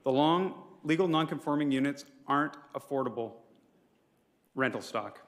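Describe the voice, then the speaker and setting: A man's voice: mid-range voice, moderate pace. A man speaks at a public council meeting in a mid-range voice. He reads a formal prepared address as an advocate, pleading his case and now and then tripping on a word. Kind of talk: prepared speech to a city council.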